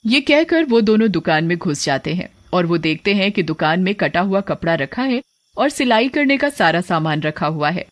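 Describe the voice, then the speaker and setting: A woman's voice: lowish voice, sing-song, moderate pace, dry recording. Woman narrating a cartoon tale, dry sound. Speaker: story narrator. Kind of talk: scripted cartoon storytelling narration.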